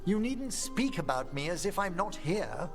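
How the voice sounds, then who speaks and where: An actor playing an earnest older necromancer turned lich in a video game, speaking formally and with eloquence. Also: male voice, high voice, very animated, normal speed. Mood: polite, uneasy. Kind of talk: video game cutscene acting. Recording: game music over the voice.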